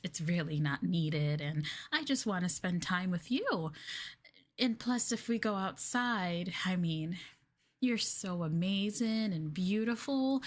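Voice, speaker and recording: A woman playing a sweetly possessive, doting yandere girlfriend in a soft, intimate, breathy ASMR roleplay. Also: fairly low pitch.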